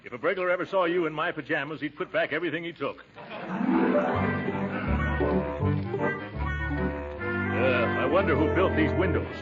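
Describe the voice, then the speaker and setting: A man's voice: a little high, fast talker, loud music behind the voice, close to an everyday voice. A man playing a pompous, blustery, chuckling middle-aged uncle in 1940s radio comedy style. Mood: teasing. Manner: pompous, mock-formal, chuckling. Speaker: lead of comedy show. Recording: dull old radio recording, loud audience.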